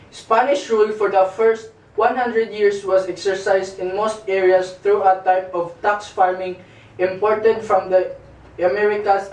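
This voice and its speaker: A man's voice: high voice, a little mellow, close to an everyday voice. A man with a Filipino accent playing a fatherly lecturer in an amateur puppet show, reading history aloud from a text. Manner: reciting from a text. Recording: echoey room, distant microphone.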